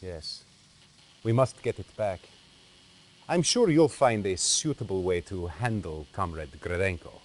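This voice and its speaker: A man playing a cold, calculating Soviet intelligence officer in a game cutscene, measured and smoothly authoritative. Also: deep voice, animated. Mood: coolly suspicious. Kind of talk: dramatic game cutscene acting.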